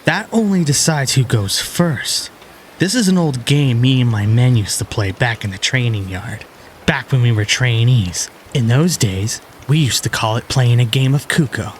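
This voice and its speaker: A man voicing a cool, confident young swordsman hero with a calm, understated cockiness in a fantasy audio drama. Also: medium pitch, normal speed, a little hiss or hum, close to an everyday voice.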